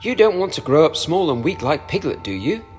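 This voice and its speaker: A man's voice: a little high, sing-song, brisk, darkish tone, long reverb tail, soft background music, pitched above the performer's own voice. A man voicing a motherly female kangaroo from a storybook, soothing yet firm and sweetly condescending. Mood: brisk fussing. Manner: sweetly condescending, mock-thoughtful, firm scolding.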